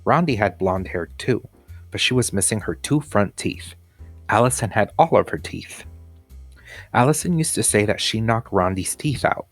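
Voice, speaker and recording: A man's voice: on the low side, breathy edge, no echo. A man reading a children's story aloud as a teacher would, with music behind him.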